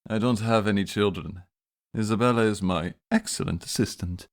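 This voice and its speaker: A man's voice: on the low side, normal speed, natural voice. A man playing a laid-back, flirtatious Victorian doctor in an audio drama, with music behind him. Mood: lazy, amused. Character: easygoing, hungover, flirtatious male Victorian doctor.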